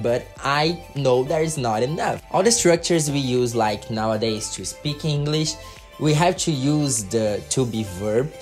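A young man from Brazil, speaking English with a Brazilian Portuguese accent as a second language, telling his story to camera in an earnest way, full of "like" fillers. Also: mid-range voice. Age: young adult. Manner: earnest, frequent "like" fillers, gestures with hands. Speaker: YouTuber. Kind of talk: personal story vlog to camera. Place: bedroom with colored lights.

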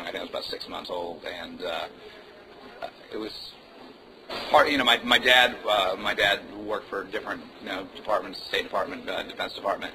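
A male musician talks in a relaxed, rambling way, his voice sitting a little low. Clear room noise from a music club runs under his words. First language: Indonesian. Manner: relaxed, rambling, many fillers and "man". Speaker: musician, interview guest. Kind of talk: casual interview chat.

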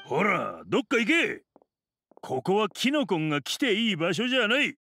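A man's voice: high-pitched, big pitch swings, normal speed, bright, forceful tone, a little hiss or hum, heavily acted. A man playing a dutiful city guard in a Japanese game dub, switching between brusque orders and formal deference. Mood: stern, threatening. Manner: brusque commands, respectful formal address. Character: stern, dutiful male city guard, deferential to his goddess.

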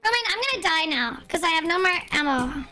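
A woman's voice: very high voice, animated, quick pace, bright, forceful tone, faint music behind. A woman in her twenties chatting casually and teasingly with teammates as she streams a game. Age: 20s. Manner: playful, teasing. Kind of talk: casual gameplay chat with teammates. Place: indoor home streaming room.

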